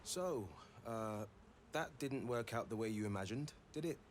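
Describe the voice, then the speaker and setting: A man acts as a male adventurer from a fantasy game, mid-range in pitch. He sounds awkward and tactful, with a hesitant, wry delivery over faint background noise. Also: animated, unhurried, close to an everyday voice. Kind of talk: video game dialogue.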